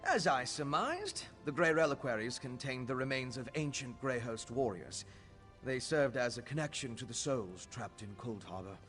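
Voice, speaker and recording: Man playing scholarly alchemist mage, video game, earnest, formal, explanatory, swooping pitch, faint music behind. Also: animated, moderate pace, fairly bright tone, natural voice. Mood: scholarly, assured. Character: earnest scholarly male alchemist mage, eager but cautious.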